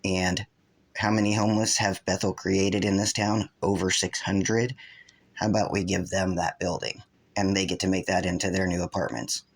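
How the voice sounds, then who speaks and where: A man's voice: deep voice, little pitch movement, moderate pace, low-quality recording. A man hosting his own video channel, giving rambling, accusatory unscripted commentary. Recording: loud vehicle and background noise.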